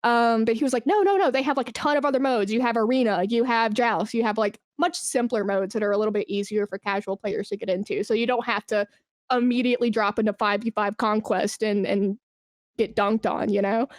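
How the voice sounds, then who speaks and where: A woman speaking as a guest in a casual podcast interview, animated and self-deprecating, with slangy phrasing. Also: a little high, fairly quick, no echo, studio quality. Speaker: podcast guest, esports brand manager.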